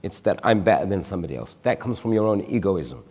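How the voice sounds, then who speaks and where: A man's voice: deep voice, lively intonation, fast, darkish tone, long reverb tail. A man in his forties, a guest on a studio panel discussion, explaining his view reflectively with examples. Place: TV studio stage.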